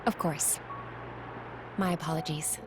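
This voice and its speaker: A woman's voice: deep voice, fairly even intonation, unhurried, background noise. A woman voicing a serene, courteous envoy in a video game, speaking formally and deferentially. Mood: gentle, polite. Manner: formal, polite, gracious. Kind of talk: video game cutscene acting.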